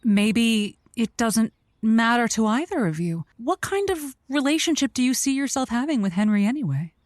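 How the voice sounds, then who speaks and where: A woman playing a sincere, theatrical radio advice host who speaks in a measured, dramatic way. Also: a little high, expressive, no room sound, clean studio sound, natural voice. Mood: measured counsel. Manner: measured, dramatic, preacher-like when urging. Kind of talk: audio drama radio call-in acting.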